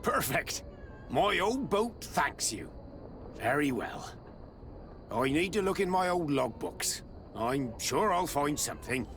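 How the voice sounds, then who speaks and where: An actor playing a gruff, wily old sea captain in a video game, with gravelly speech. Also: male voice, on the high side, sing-song, slow, a bit bright, a little hiss or hum, heavily acted. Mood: pleased, obliging. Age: older. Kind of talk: video game cutscene acting.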